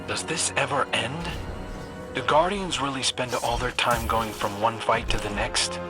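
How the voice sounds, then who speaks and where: Earnest young scout guy male actor, kind n conversational game dialogue, loud music behind. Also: medium pitch, unhurried, close to an everyday voice. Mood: weary wondering.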